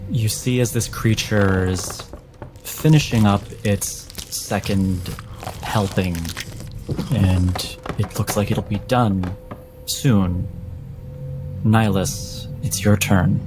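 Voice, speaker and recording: A low male voice, very unhurried, with music playing clearly behind it. He is a game master narrating horror in a deliberate, suspenseful way, breaking sentences into short dramatic pieces. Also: low-quality recording. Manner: deliberate, suspenseful, with broken phrasing.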